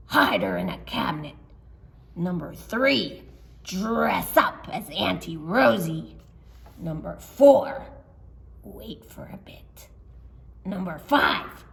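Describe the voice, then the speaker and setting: A woman's voice: on the low side, sing-song, vocal fry, fairly bright tone, full character voice. A woman voicing a naughty, hungry male lion, scheming and pleased with himself, in an emphatic storybook style. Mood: scheming, smug. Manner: theatrical, emphatic, deliberate. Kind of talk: animated storybook read-aloud for children.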